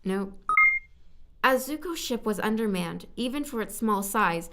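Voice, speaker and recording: A woman recording scripted story narration as a voice actor, halting at times and repeating lines. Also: mid-range voice, lively intonation, very noisy. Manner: halting, stumbling over words and repeating takes.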